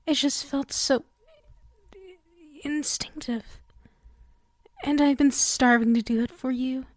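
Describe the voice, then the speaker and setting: Woman playing a slow, high-voiced, clingy demon girl. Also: fairly even intonation, no room sound. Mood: relieved, adoring. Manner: soft, breathy, often dropping to a whisper. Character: clingy, obsessively devoted sealed female demon. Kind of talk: ASMR roleplay, intimate monologue addressed to listener.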